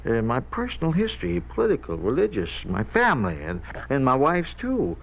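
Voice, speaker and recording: On a dull old radio recording, an anxious union official with a gravelly edge. He speaks haltingly and deferentially, his pitch wavering widely. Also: huge pitch swings, full character voice. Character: anxious, hesitant male union official under pressure. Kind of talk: 1950s radio drama acting.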